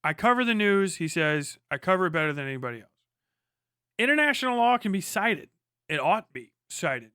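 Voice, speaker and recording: Male streamer, bright forceful tone, clean crisp voice, blunt slangy reaction commentary with plenty of swearing. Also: high-pitched, sing-song, fairly quick, dry recording, clean studio sound. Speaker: livestream host reacting to a debate. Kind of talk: casual, profane live commentary.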